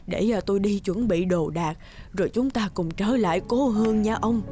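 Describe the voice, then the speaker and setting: A caring old fisherman's wife in a cartoon fairy tale, acted by a woman. Her voice is husky and mellow, gentle in manner, with faint music underneath. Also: mid-range voice, moderate pace, close to an everyday voice. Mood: gentle concern.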